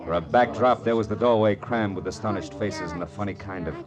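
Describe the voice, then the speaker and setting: Male actor as hard-boiled American gumshoe, sardonic and menacing, deep register, vintage radio drama over music. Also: a little fast, lightly acted. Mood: grim, wry. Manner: clipped, wisecracking, menacing. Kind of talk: 1940s radio detective acting and first-person narration.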